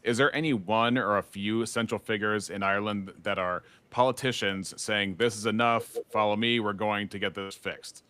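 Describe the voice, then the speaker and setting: A man's voice: on the low side, steady tone, moderate pace, hardly any pauses, pushed and bright, clean tone, no room sound. A man hosting a casual panel discussion, explaining points at length and then handing over to his guests. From United States.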